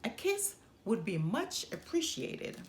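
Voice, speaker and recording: Woman voicing a courteous storybook frog, animated and unhurried. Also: a little high, reverberant, a little hiss or hum. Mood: hopeful. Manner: courteous, formal. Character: polite male frog, an enchanted prince, hopeful and gentlemanly. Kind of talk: storybook character voice.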